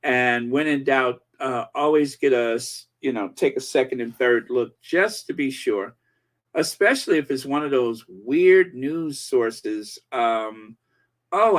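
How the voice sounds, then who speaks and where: A man in his forties hosting a casual commentary channel, rambling with many pauses and fillers while reading viewer comments. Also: medium pitch, a bit bright. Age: 40s. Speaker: channel host.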